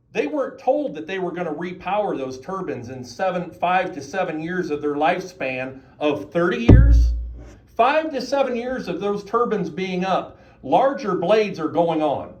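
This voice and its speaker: A man's voice: sits high, sing-song, moderate pace, darkish tone, low-quality recording. A man speaking emphatically at a county meeting, using rhetorical questions. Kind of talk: public comment at a meeting.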